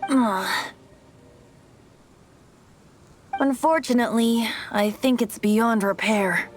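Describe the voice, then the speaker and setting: A woman's voice: fairly high pitch, measured pace, faint music behind, natural voice. A woman playing a youthful, playful and theatrical boy bard in an anime video game. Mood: gentle.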